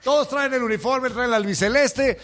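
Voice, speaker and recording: High-pitched Mexican male panelist. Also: moderate pace, heavy background noise. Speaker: sports show panelist. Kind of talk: casual football chat.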